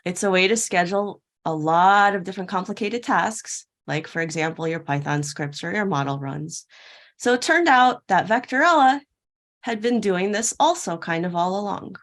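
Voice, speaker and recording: A woman's voice: medium pitch, expressive, moderate pace, fairly bright tone. A woman delivering a prepared keynote talk in a playful storytelling way, posing questions and answering them. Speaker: keynote speaker at a tech conference. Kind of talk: prepared conference talk told as a fairytale parable.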